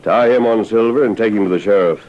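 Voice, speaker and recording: A man's voice: lowish voice, fast talker, natural voice. An actor playing a resolute masked lawman cowboy in old western radio drama, speaking decisively and declaiming his lines. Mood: resolute planning. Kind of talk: 1940s-style western radio drama acting. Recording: dull, noisy old radio recording.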